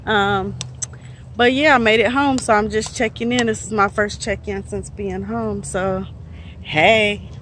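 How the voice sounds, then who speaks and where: A woman in her thirties, a truck driver, chatting casually to a phone held at arm's length outdoors, relaxed with lots of fillers. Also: medium pitch, a little slow. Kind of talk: casual vlog to phone camera. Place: outdoors in a park and a truck yard. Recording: phone audio with outdoor noise.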